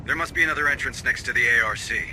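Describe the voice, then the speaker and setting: A gruff, stubborn military commander from a sci-fi game. His delivery is clipped and commanding, with dry sarcasm and a very hard, bright edge. Faint noise sits behind the voice. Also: medium pitch, racing. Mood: commanding, focused. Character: gruff, stubborn male commander of space marines. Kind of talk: video game cutscene acting and mission briefing.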